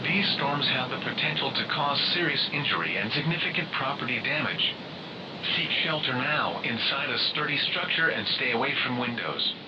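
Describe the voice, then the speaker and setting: A man's voice: mid-range voice, animated, moderate pace, close to an everyday voice. Man as automated emergency weather announcer, dull radio sound, heavy noise, formal, impersonal, scripted bulletin. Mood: stern warning. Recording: muffled, very noisy weather radio broadcast.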